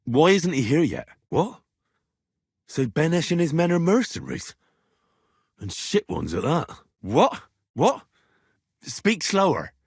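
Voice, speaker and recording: An actor playing an earnest, blunt, quick-tempered young medieval adventurer in video game dialogue, with a husky voice. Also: male voice, on the high side, dry recording, studio quality, exaggerated voice. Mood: questioning, puzzled. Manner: expressive, blunt, frequent swearing.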